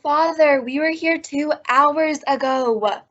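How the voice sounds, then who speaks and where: A woman's voice: high voice, slow pace, fairly bright tone. A woman playing a pert, defensive teenage daughter in an old-time radio sitcom, with a matter-of-fact delivery. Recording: muffled recording with vehicle sounds.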